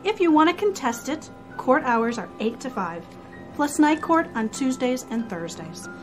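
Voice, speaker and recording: An actress in a radio drama playing a firm, matter-of-fact parking officer. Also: female voice, sits high, sing-song, unhurried, music in the background. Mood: dry, official.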